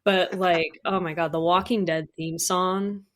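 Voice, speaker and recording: A woman's voice: on the low side, fairly even intonation, measured pace, a bit bright. A woman speaking as a guest composer in a casual interview, informal and enthusiastic in how she talks. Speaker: guest, composer for audio dramas.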